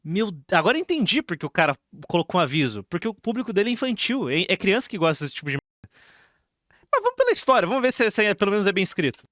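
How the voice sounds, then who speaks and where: A man's voice: high voice, wildly swooping pitch, fast, fairly bright tone, no room sound, clean studio sound. A Brazilian man doing casual live reaction commentary as a streamer, slangy and sarcastic, often repeating his phrases.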